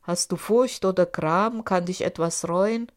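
Loud, mocking male giant, woman reading, slow and low. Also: close to an everyday voice. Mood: insistent. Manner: loud, booming, scornful. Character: boastful greedy male giant, mocking and rough. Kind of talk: audiobook character voice.

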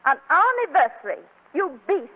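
An actress playing a proud, wounded and irate wife in a 1940s radio comedy, tart and dramatic, on a muffled old broadcast. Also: female voice, high voice, very animated, moderate pace. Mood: outraged.

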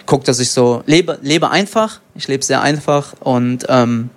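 A young man from Germany, an MMA fighter and guest, answering in a relaxed, rambling way with lots of fillers. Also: mid-range voice, unhurried. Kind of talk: casual interview.

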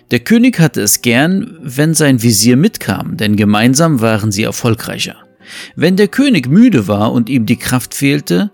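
A man's voice: mid-range voice, gravelly. A man narrating a scripted fable as the storyteller of an audio story. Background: faint music.

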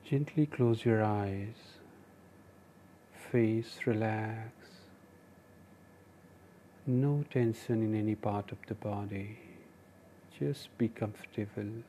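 Slow, mellow Indian man guiding meditation. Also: lowish voice, a little hiss or hum. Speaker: meditation teacher and podcast host. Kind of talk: guided meditation narration, spoken instructions.

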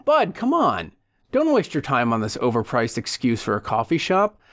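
A man's voice: medium pitch, huge pitch swings, moderate pace. A man voicing a falsely jovial, pushy man in an audiobook, with exaggerated chummy enthusiasm. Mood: pushy, coaxing.

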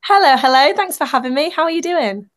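A young woman, a singer being interviewed, chatty and bubbly, her voice a little croaky from a sore throat. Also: high-pitched, animated, fast talker. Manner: bubbly, chatty, laughs at herself. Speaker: singer-songwriter guest. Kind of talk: casual interview chat.

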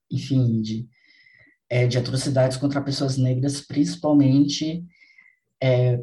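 A man's voice: medium pitch, mellow. A man from Bahia, hosting a podcast and speaking earnestly and reflectively, repeating phrases for emphasis. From Bahia, Brazil. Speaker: podcast host. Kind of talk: casual podcast conversation.

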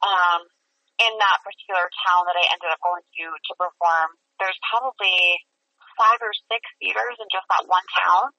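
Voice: mid-range voice, steady tone, normal speed, creaky, no echo, distant mic. A young musical theater actor from Massachusetts, answering interview questions in an earnest, chatty way with frequent fillers. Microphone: phone or call line. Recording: lo-fi call audio.

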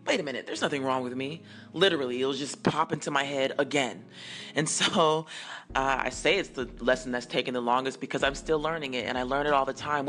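A woman in her forties hosting her own podcast, answering listener messages in an earnest, unhurried, affirming way with a low, husky morning voice. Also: fairly bright tone. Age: 40s. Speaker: singer-songwriter hosting a call-in podcast.

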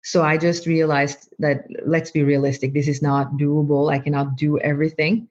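A woman's voice: deep voice, flat delivery, moderate pace, darkish tone. A woman, a doctor speaking as an interview guest, answering thoughtfully and often repeating words while she finds her phrasing. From Norway. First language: Norwegian. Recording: slightly muffled, lo-fi.

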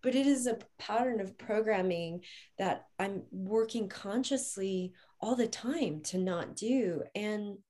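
A woman's voice: mid-range voice, takes it slowly, dark tone. A woman in her forties hosting a reflective conversation, talking earnestly and thoughtfully and laughing often. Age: 40s. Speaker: host.

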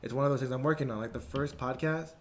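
A man's voice: medium pitch, quick pace, a little hiss or hum. Laid-back young man, slangy podcast host. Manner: laid-back slangy talk, lots of "like" and "you know". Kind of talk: casual podcast chat.

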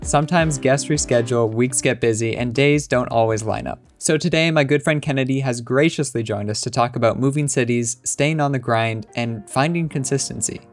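A man from Canada hosting a casual podcast, chatting in a relaxed, friendly way. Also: mid-range voice, fairly quick, few pauses, faint music behind. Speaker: podcast host.

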